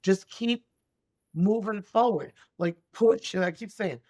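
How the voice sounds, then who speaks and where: A woman in her forties with a deep, raspy voice, telling her story as a podcast guest in an animated, emphatic way and repeating key phrases. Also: a little slow. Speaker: podcast guest, special education advocate. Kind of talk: conversational interview storytelling.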